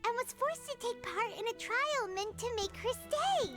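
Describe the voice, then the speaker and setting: A woman voicing a tiny, eager childlike fairy companion in a video game, with a squeaky, animated delivery. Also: moderate pace. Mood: earnest explaining. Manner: animated, explaining earnestly. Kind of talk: scripted video game dialogue. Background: game music.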